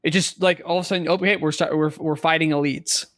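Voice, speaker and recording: A man with a voice a little higher than average talks as a podcast co-host. His delivery is casual and conversational, rambling along with emphatic restatements as he makes his points. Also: steady tone, fast, noisy background. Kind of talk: casual conversational video game chat.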